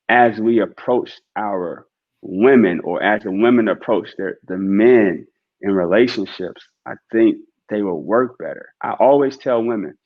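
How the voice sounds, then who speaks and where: A man's voice: fairly low pitch, highs cut off. A man guest on a podcast, talking earnestly and reflectively about relationships and faith, with a preacher-like rhythm and frequent "right?" asides.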